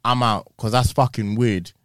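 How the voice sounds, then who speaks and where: A man's voice: medium pitch, fairly even intonation, normal speed, fairly bright tone, dry recording, studio quality. A man chatting bluntly on a podcast, swearing casually and speaking frankly. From England. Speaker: podcast co-host. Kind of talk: casual chat.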